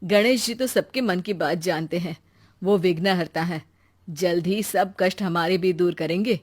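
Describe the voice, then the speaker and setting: A woman's voice: lowish voice, moderate pace, pitched below the performer's own voice, lightly acted. A devout village husband from a moral storybook, played by a woman. He is humble and reassuring, speaking with devotional feeling. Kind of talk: Hindi moral storybook acting.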